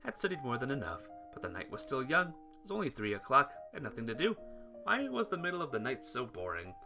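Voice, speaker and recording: A man narrates a visual novel playthrough with lively, swinging pitch. He reads expressively and sometimes trips over words, with guitar music playing behind him. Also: fast, gravelly. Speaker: playthrough host reading a visual novel aloud. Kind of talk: expressive read-aloud of game text.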